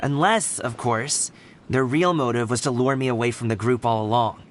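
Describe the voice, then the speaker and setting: A man's voice: mid-range voice, moderate pace, over loud music, lightly acted. An actor playing a perceptive young man in a video game, casual, direct and confident. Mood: confident, analytical. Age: young adult.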